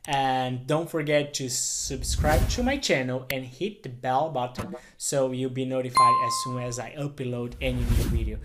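A man in his thirties from Brazil with a Brazilian Portuguese accent, earnestly talking to camera in his living room, with many fillers and restarts. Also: mid-range voice. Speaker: YouTuber. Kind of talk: casual talk to camera.

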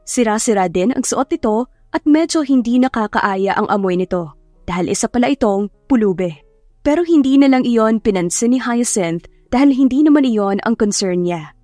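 A woman's voice: fairly high pitch, expressive, normal speed, mellow, no echo. A woman narrator reading a scripted story as an audiobook. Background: background music.

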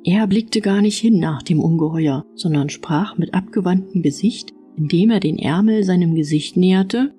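A woman narrating an audiobook fairy tale in an expressive storytelling style. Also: lowish voice, normal speed, hardly any pauses, faint music behind.

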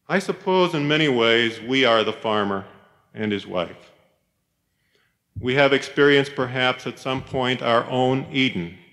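A man, a professor, giving a reflective, sermon-like chapel talk in a measured storytelling manner, with pauses. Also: mid-range voice, a bit bright. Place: large chapel, speaking to a congregation. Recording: reverberant chapel hall, 1990s cassette tape.

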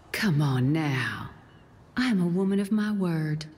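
An actress playing a cocky, sly gunslinger in a video game, husky. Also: female voice, lowish voice, expressive, takes it slowly, a little mellow, heavily acted. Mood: sly, coaxing. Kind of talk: video game character dialogue. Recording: reverberant hall.

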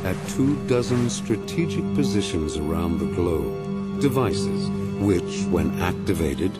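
An actor playing a grandiose, ancient villain mastermind in a video game, speaking in pompous, measured, formal oratory. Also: male voice, sits low, animated, over loud music. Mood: coldly menacing. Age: older.

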